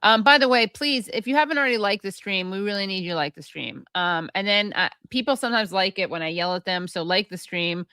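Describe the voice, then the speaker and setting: Female stream host, sharp bright tone, dead-dry mic, brisk and scattered delivery. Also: medium pitch, animated, clear, resonant tone, no room sound. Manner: brisk, scattered, talks to producer and audience. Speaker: show host. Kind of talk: live-stream interview hosting.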